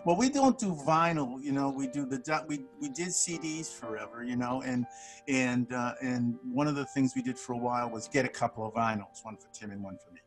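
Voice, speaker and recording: A man in his sixties, a studio manager and bass player, chatting casually on a video call, rambling with many restarts and chuckles. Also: brisk, faint music behind. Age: 60s.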